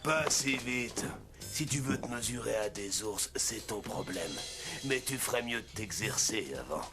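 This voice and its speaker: A man's voice: fairly low pitch, expressive, a little slow. An actor playing a boastful, mocking Cossack strongman in a French cartoon. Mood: taunting. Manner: boastful, taunting. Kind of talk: French animated series dubbing. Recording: loud music under the voice.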